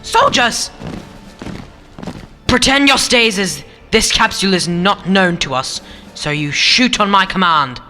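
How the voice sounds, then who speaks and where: A man's voice: high-pitched, expressive, unhurried, sharp tone, in a large hall, faint music behind, full character voice. A man playing a stern, officious Time Lord coordinator in a sci-fi audio drama, with a pompous, formal, commanding manner. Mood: barking orders.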